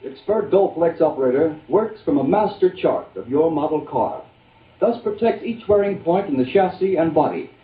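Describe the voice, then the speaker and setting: A man with a raised pitch delivers technical sales copy in a clipped vintage radio style. The recording is narrow and tinny, with a clear echo. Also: expressive, normal speed. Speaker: second radio commercial announcer. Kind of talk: old-time radio advertising read.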